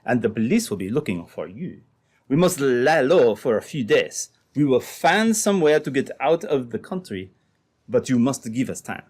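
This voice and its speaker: A man playing a smooth-talking, scheming Frenchman in an old-time radio drama, phrasing things formally. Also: mid-range voice, sing-song, normal speed, darkish tone. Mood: sly, cautioning.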